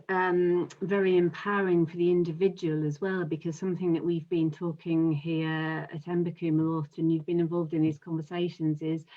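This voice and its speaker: A woman hosting an online talk, asking her guest gentle, hesitant questions over a video call. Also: fairly low pitch, lo-fi sound. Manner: gentle, hesitant, many fillers. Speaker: host. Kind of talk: interview questions.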